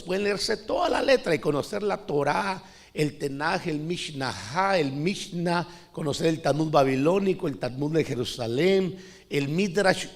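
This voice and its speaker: A middle-aged man from Costa Rica preaching a sermon in a conversational way, often calling the listeners brother. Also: fairly high pitch. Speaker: pastor.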